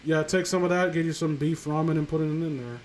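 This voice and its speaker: A man's voice: fairly high pitch, fairly even intonation, fairly quick, slight background noise. A man hosting a live stream, chatting casually and bluntly about films, rambling and swearing as he gives opinions.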